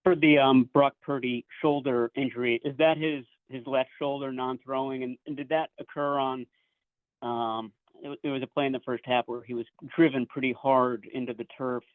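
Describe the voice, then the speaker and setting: A man working as a reporter, putting questions haltingly on a conference call. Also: medium pitch, steady tone, dry recording. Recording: dry, phone-like conference line.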